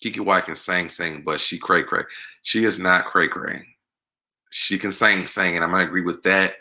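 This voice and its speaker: Deep, very forceful American man. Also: fairly even intonation, normal speed. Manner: conversational, emphatic, repeats words for emphasis. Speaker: online show host. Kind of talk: casual live Q&A chat about music.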